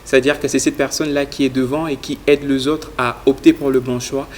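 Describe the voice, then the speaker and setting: A man's voice: medium pitch, a little fast, a little hiss or hum. A young man from Congo, a guest explaining his youth leadership project in an interview, earnest and full of fillers. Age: young adult. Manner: earnest, speaks in a flat even tone with frequent fillers. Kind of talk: studio interview answers.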